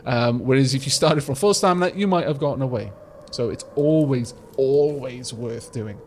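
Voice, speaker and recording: A man hosting a let's play, chatting casually and explaining game mechanics with a slight rumble in his voice. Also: moderate pace, a little mellow. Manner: chatty, explanatory, frequent restarts and fillers. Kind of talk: casual gameplay commentary.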